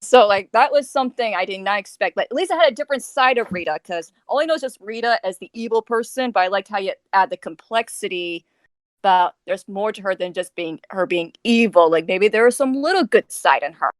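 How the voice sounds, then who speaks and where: A woman chatting casually on a group voice call, expressive and repeating phrases for emphasis. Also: mid-range voice, fairly quick, no room sound. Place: voice chat call over the internet.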